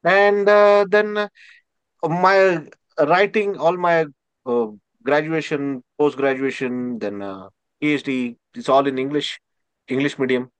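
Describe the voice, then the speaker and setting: A man with an Indian accent, a native Marathi speaker, answering interview questions haltingly with many restarts. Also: on the high side, smooth, no echo. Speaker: guest scholar.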